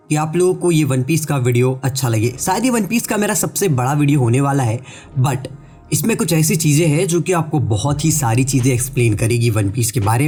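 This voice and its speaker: A man's voice: on the high side, darkish tone. A man with a sore throat and a blocked nose, giving a casual explainer voice-over as an anime theory YouTuber and speaking straight to his viewers. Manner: chatty, addresses viewers directly, mixes in English words. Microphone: voice-over recorded separately.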